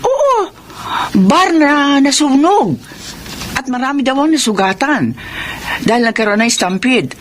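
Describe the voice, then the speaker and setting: A Filipino actress playing a caring, chatty middle-aged mother in a radio drama, motherly and expressive. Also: high voice, measured pace, long pauses, a little hiss or hum. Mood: concerned, gossipy. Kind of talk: Philippine radio drama acting.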